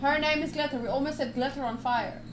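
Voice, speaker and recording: A woman streaming a game, reading story text aloud in an expressive, chatty way with casual asides. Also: high voice, fast talker, noisy background. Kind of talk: live visual novel playthrough, reading aloud with casual asides. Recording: soft music behind voice. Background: game music.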